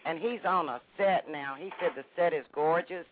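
An older woman speaks in a big, deep voice with plenty of sass. She tells casual stories like someone calling in to a show. The sound is thin and phone-like, with clear noise and clicks around it. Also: normal speed. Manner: feisty, sassy. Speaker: show guest, comedian's mother. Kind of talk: casual storytelling on a call-in show.

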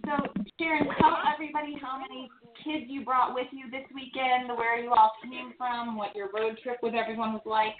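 A woman's voice: high-pitched, level tone. Woman host, chatty and teasing, tinny phone sound, roomy echo, faint hiss. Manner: chatty, teasing, quick asides. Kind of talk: casual recap show with callers. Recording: echoey, tinny phone line with faint noise.

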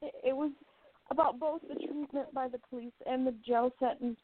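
A woman's voice: high voice, steady tone, moderate pace, slight background noise, lightly acted. A woman playing an earnest, impassioned young 1920s newspaper columnist in an amateur radio play. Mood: tender. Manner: earnest, impassioned about women's rights. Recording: tinny phone-like audio.

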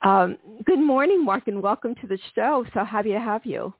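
Female podcast host, slightly gravelly, chatty with ums, dry tinny phone-line sound. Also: a little high, animated, normal speed, no echo. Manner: warm, chatty, many ums and soft laughs. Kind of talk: hosting a healing-themed interview podcast.

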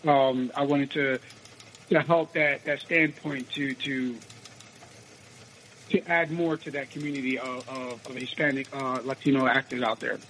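A raspy-voiced young man from the United States, an actor answering interview questions in a casual, rambling way with many restarts. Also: medium pitch, steady tone, measured pace, fairly bright tone, a little hiss or hum, rough recording. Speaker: actor guest.

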